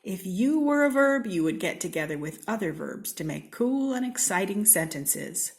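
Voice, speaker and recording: An American woman from Seattle reading a children's storybook aloud in an expressive, theatrical way, with deliberate, playful emphasis. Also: medium pitch. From Seattle, United States. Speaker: storybook reader.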